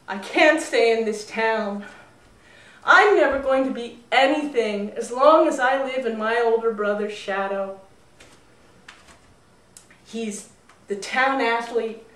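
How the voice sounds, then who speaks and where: A woman's voice: a little high, slow, pauses often, fairly bright tone. A woman storyteller voicing a frustrated, earnest young man in a fable, with emphatic delivery. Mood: frustrated, desperate. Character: restless, frustrated young man in brother's shadow. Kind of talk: live storytelling. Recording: roomy echo, distant mic.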